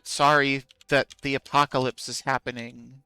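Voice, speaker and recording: A man's voice: medium pitch, level tone, moderate pace, sharp tone, clean tone, no echo, faint music behind. A man streaming a game, chatting casually with viewers in a musing, self-deprecating way.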